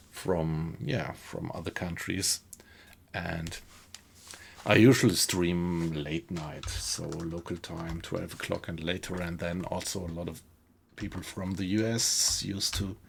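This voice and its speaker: Slow, gravelly German-accented man. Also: sits low, a little hiss or hum. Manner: easygoing, thinks aloud, reacts to the game while talking to chat. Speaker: game streamer. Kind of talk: live gameplay commentary, chatting with viewers.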